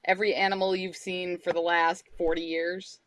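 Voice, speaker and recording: A woman's voice: lowish voice, flat delivery, moderate pace, no room sound, poor audio. A woman, chatting casually as a fan among friends. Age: 30s.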